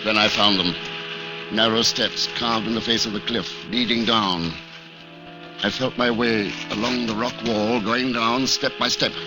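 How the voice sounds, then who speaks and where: A man's voice: fairly low pitch, steady tone, unhurried, close to an everyday voice, low-quality recording. Man acting a tense radio thriller hero, music behind. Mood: urgent. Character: hunted hero narrating his tense spy adventure. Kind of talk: 1940s radio acting, dramatic first-person narration.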